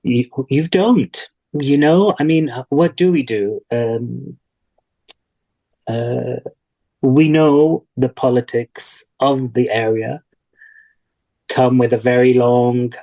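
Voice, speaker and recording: A man from Kuwait, speaking thoughtfully and deliberately in a reflective interview over a video call. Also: medium pitch, dark tone, low-quality recording. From Kuwait, of Palestinian parents. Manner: thoughtful, deliberate, with repetition for emphasis. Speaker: interview guest. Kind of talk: reflective personal interview talk.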